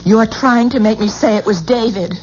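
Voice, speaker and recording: An actress playing a proud, disbelieving wife in a 1970s radio drama, emphatic and theatrical. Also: female voice, on the high side, a little fast, a little mellow, lightly acted. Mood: hurt, angry. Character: proud, disbelieving wife fiercely defending her husband. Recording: dull, noisy 1970s radio recording.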